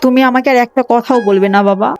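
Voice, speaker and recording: A woman's voice: a little high, steady tone, quick pace, soft background music, natural voice. A woman voicing a poor, dutiful young village daughter in a cartoon story, plaintive, earnest and emotional. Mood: firm, earnest. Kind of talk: Bengali cartoon moral-story voice acting.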